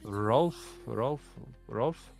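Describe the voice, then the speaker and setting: A man from Hungary, speaking English as a second language with a Hungarian accent, halting and full of fillers as a guest on a live stream. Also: medium pitch, animated, creaky, music in the background. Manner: halting, repeats words, many fillers. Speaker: guest trader. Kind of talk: casual live-stream chat.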